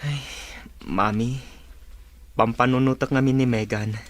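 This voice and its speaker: Lalaki nga agak-akto a agbabbabawi nga agtutubo nga ama. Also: male voice, medium pitch, fairly even intonation, measured pace, lightly acted. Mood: downcast. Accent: Ilocano. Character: remorseful young father, polite and earnest grown son. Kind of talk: Ilocano comedy drama voice acting.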